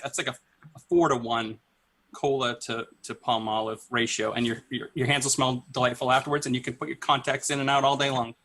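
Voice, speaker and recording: A man's voice: medium pitch, bright, forceful tone. A man on a quiz panel improvising tongue-in-cheek advice in a mock-knowing manner.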